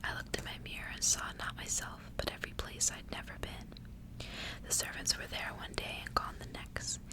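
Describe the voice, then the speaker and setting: Female ASMR reader for literary fiction. Whispers throughout, breathy and gentle, narrating in short phrases. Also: normal speed. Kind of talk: ASMR whispered storybook reading.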